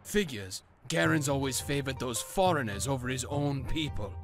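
An actor playing a disgruntled, excitable villager in a video game. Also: male voice, mid-range voice, moderate pace, music in the background, close to an everyday voice. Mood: bitter. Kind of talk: dramatic game cutscene acting.

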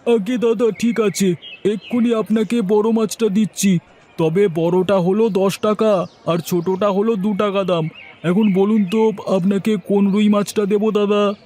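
A man's voice: high-pitched, fairly even intonation, unhurried, warm tone. A man playing a polite, obliging village fish seller in a comedy cartoon, deferential and eager to serve. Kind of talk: Bengali comedy cartoon dialogue.

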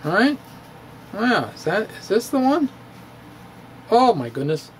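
A middle-aged man reads his physics blog aloud in a playful, theatrical way. His pitch swings widely and he takes his time. A close studio microphone in a book-lined home study picks him up over a faint hum. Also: sing-song, a little slow, low-quality recording. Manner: playful, theatrical, with chuckles and asides. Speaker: physics blogger. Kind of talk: dramatic reading of his own blog. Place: home study with bookshelves, indoors. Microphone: studio microphone on stand, close.

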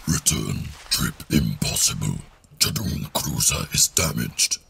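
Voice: very deep voice, sing-song, takes it slowly, a bit bright, a little hiss or hum, heavily acted. A hulking, growling alien rhino captain. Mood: menacing. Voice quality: gravelly, guttural. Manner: clipped broken sentences without articles. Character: gruff female rhino-like alien ex-captain, blunt and menacing. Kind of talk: fan audio drama acting.

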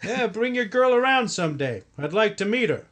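A man plays a stingy, gruff shopkeeper boss in an old radio comedy. His voice is high for a man and very animated, brusque and full of bluster. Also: a little fast. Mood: agreeable. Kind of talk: recreated 1950s radio sitcom acting.

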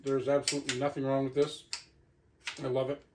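A man's voice: medium pitch, completely flat delivery, fairly quick. A middle-aged man giving a casual hands-on unboxing review, talking half to himself as he handles the gear in a living room. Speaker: product reviewer. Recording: clicks and clear background noise.

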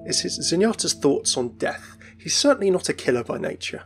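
A man's voice: on the high side, sing-song, fairly quick, slightly rough, soft background music. A man narrating a scripted video about video game lore as its presenter.

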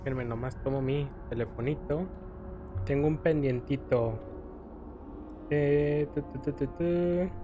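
A man's voice: on the high side, slow pace, pauses often, lo-fi sound. Chatty man narrating gameplay. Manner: chatty, thinks aloud, fond of diminutives. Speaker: gaming YouTuber. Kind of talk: casual let's play commentary. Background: game music.

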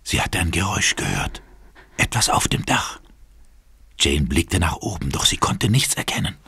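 Low-voiced, animated male drama narrator. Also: normal speed, vocal fry, slight background noise.

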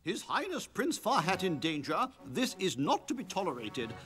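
A man's voice: sits high, huge pitch swings, moderate pace. A man playing a pompous, solemn older university dignitary in a cartoon, speaking very formally. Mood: formal concern. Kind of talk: cartoon character acting.

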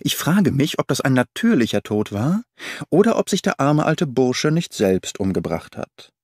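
A man reading the part of a calm, analytical detective in an audiobook, measured and probing, dry recording. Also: medium pitch, breathy edge, dry recording. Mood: musing.

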